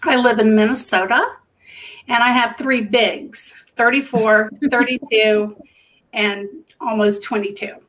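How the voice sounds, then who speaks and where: A woman in her fifties with a Georgia Southern accent introduces herself on a video call and laughs easily. Her voice sits a little high. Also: steady tone, unhurried, a bit bright. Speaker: business owner guest.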